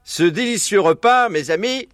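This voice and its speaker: A spirited fox leader played by a male narrator speaks in a raised, very animated voice. He is proud and rousing, a storybook orator addressing his band, in a dry recording with music. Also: high voice, normal speed, dry recording, pitched above the performer's own voice. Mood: jovial, proud. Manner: exclamatory, rallying speechmaker. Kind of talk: storybook character voice.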